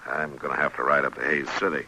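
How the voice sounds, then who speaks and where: A calm frontier marshal in a vintage radio western. He is American, with an extremely low, gravelly voice that cuts through very sharply. He sounds used to being in charge. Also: quick pace, full character voice, low-quality recording. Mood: brisk, in charge. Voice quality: very deep, gravelly. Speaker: radio drama actor. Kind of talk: old-time radio western acting. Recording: vintage radio drama recording.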